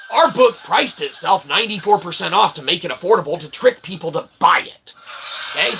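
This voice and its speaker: Gravelly, emphatic man ranting. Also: fairly high pitch, background noise. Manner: emphatic, repeats "okay?" constantly; rants with bursts of shouting and laughter. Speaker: channel host. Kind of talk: rambling livestream monologue.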